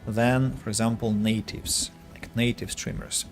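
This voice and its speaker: Slow-talking Russian-accented man streaming a game. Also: lowish voice, level tone, faint music behind. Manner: slow, careful wording with frequent restarts. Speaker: streamer and English teacher. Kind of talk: casual gameplay streaming chat.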